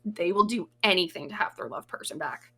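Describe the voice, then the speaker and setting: Woman guest on a podcast, bright voice, over-explains stuff, swears casually. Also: fairly low pitch, lively intonation, fairly quick, slightly rough. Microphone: remote recording over the internet.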